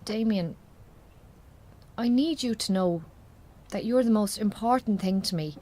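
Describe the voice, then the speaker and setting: A woman's voice: mid-range voice, a little slow, warm tone, close to an everyday voice. A woman playing a plain-spoken, supportive Irish farm wife in a radio drama, with an Irish accent and an earnest, colloquial way of talking. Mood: earnest, affectionate.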